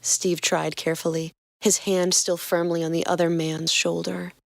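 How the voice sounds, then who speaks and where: A woman narrating a fan fiction story in a steady reading voice. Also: on the low side, moderate pace, vocal fry, no echo, clean studio sound. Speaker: podcast co-host narrating fanfiction.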